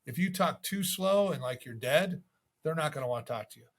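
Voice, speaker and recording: A man's voice: a little high, animated, fairly quick, slightly rough. A man giving instructional sales tips as a coach, in a conversational manner. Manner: conversational, acts out sample calls. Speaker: real estate sales coach.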